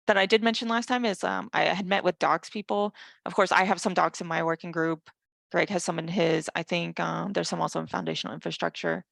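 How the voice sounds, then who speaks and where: A woman with a lowish, heavily creaky voice talks through a team update in an informal meeting. She thinks aloud as she goes, and the sound is dry and close. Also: fairly bright tone, no room sound. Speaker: working group lead giving an update. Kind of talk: casual work meeting update. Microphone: video call.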